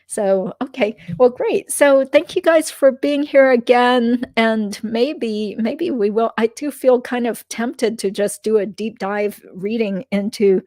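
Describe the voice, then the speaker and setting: A woman hosting a legal commentary livestream, chatting casually with fillers and asides. Her voice is slightly croaky. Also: fairly high pitch, slow, few pauses, a little mellow, no room sound.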